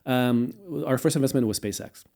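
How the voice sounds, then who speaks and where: A man who grew up in Portugal, a guest in an interview, talking in a measured way with many fillers. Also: mid-range voice, mellow. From United States, raised in Portugal. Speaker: guest, venture capitalist. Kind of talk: casual interview answers.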